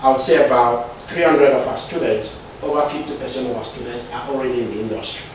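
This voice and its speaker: A man's voice: mid-range voice, steady tone, fast, noisy background. Guy from cameroon in an interview, lots of uhs and "right?", sounds far off in a really echoey room. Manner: hesitant, many fillers and restarts, frequent "right?". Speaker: IT consultant and entrepreneur. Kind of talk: interview answers.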